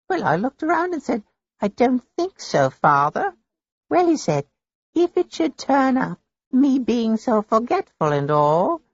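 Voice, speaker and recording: A man's voice: no room sound, full character voice. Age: older. Figure: a man doing a gossipy candy-shop lady. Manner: high, fluttery, gossipy. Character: chatty elderly female shopkeeper. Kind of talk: storybook reading. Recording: dull lo-fi dry recording.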